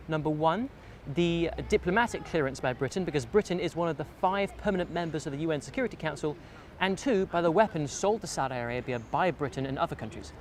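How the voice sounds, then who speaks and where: A man giving an articulate, structured opinion in a street interview outdoors. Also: fairly high pitch. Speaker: member of the public interviewed. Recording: outdoor street noise behind.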